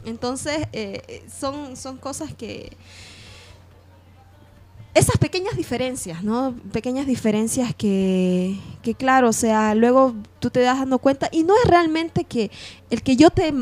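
A woman's voice: high-pitched, lively intonation, unhurried, darkish tone. A woman with a Bolivian Spanish accent in an informal on-air discussion, feeling out her ideas with repeated words and hesitations. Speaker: radio discussion panelist.

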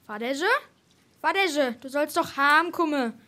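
Woman as a high-voiced, pleading Hessian little boy. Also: measured pace, sharp tone. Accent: Hessian, Darmstadt dialect. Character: pleading little boy fetching his father. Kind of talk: theatrical dialect comedy.

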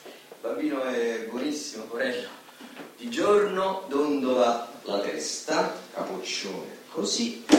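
A man playing a wry, gossipy narrator of a Roman apartment building, with a colloquial storytelling manner and a Roman Italian accent, in a radio play. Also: medium pitch. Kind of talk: theatrical radio drama narration. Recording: very echoey, distant, noisy stage recording.

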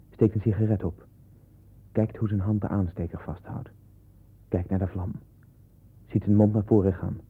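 Brooding observer, low husky voice, clipped incantatory phrases, radio drama, tinny old recording. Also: male voice. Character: brooding man observing another in obsessive detail. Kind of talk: 1960s radio play monologue.

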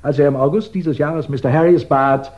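Schauspieler als bedächtiger Ermittler, dumpfe alte Hörspielaufnahme. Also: male voice, a little high, fairly even intonation, darkish tone, close to an everyday voice. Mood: methodical, grave. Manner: deliberate, courteous, probing, formal. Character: shrewd male investigator methodically laying out a murder case. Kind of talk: 1960s German radio crime drama acting. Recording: dull 1960s radio recording.

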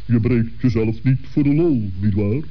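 A ponderous male owl acted by a man talks in a medium-pitched voice, pompous and wordy, tangling his phrases. He means well. The old children's radio play recording sounds dull. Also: normal speed, lightly acted. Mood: grave, sympathetic.

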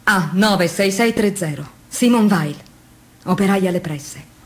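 A woman's voice: medium pitch, a little slow. A woman giving a dramatic scripted reading for a theatre promo.

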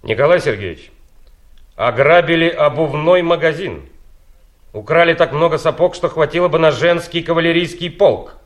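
An actor playing a self-important district prosecutor, a boss in an audio play. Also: male voice, fairly high pitch, normal speed, close to an everyday voice. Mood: dry, bossy. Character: self-important district prosecutor, sarcastic with staff, deferential to superiors.

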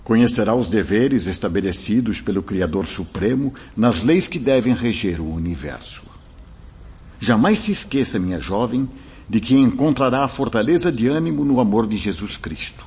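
A Brazilian man playing a kindly, solemn spirit mentor who exhorts in a measured, preacherly way in a radio drama. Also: fairly low pitch, animated, a little mellow, slightly rough, exaggerated voice. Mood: consoling, solemn. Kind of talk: Portuguese radio drama acting. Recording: dull lo-fi audio.

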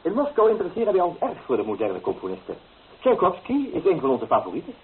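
A man's voice: fairly high pitch, huge pitch swings, fast, husky, heavily acted. A man playing a teasing, flamboyant young bohemian painter in a radio play, theatrical and playfully mocking. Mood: playful, breezy. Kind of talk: Dutch radio play acting. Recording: tinny old radio recording with hiss.

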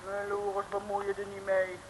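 A woman's voice: mid-range voice, completely flat delivery, moderate pace, slight background noise, lightly acted, rough recording. An actress in a 1950s radio play playing a gushing young female detective sidekick who keeps tagging her sentences with a questioning "hè". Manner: chirpy, gushing, keeps adding "hè". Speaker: assistant detective.

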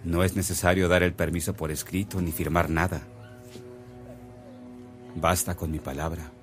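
An actor playing a cold, authoritative bishop in a radio drama, formal and dismissive. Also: male voice, low voice, little pitch movement, normal speed, lightly acted. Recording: music under the voice.

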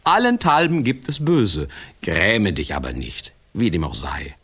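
An actor playing a quirky fairy-tale storyteller in a children's radio play, rambling and talking straight to the listener in a whimsical way. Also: male voice, lowish voice, big pitch swings, unhurried, a bit bright, breathy edge, exaggerated voice. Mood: chiding, confiding. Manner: whimsical, digressive, addressing the listener directly.

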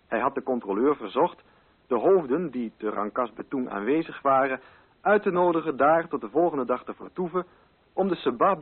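Male narrator, husky voice, reading literary prose for a radio play; very muffled, band-limited recording. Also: medium pitch.

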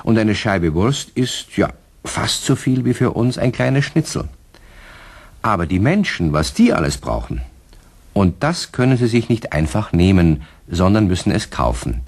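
A man with a low voice tells a children's story as the narrator of a radio play. His delivery is scripted and very animated, with pitch that rises and falls widely through each phrase. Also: unhurried, husky.

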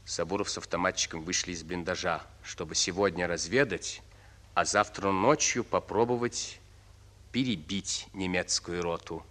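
A grave male narrator of a radio war story, with a deep, forceful voice. His scripted delivery is solemn and deliberate, ringing with long reverb.

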